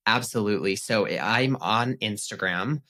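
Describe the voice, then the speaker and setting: Bright-voiced chatty man, lowish pitch. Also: moderate pace. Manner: chatty and upbeat, casual swearing. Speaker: pet psychic guest. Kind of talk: casual podcast interview.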